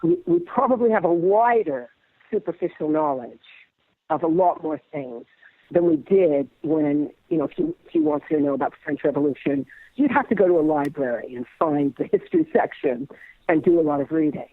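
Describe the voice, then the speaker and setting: A man giving an interview in a halting, thoughtful, bookish conversational way. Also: on the high side, sing-song, no echo, phone-quality sound. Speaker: interview guest.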